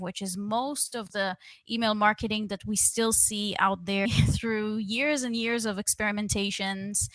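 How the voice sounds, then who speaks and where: A woman's voice: medium pitch, fairly bright tone, no echo. A young adult woman from Israel, a guest email strategist, telling her story in a candid, chatty way with plenty of fillers. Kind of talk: conversational interview.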